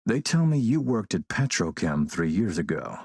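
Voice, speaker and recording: A man playing a smooth, menacing military warlord and arms dealer in a video game, suave, commanding and measured. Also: on the low side, very animated, a little mellow, dry recording, studio quality, heavily acted. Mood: polite, probing. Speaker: villain character in an action role-playing game. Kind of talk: acted video game dialogue.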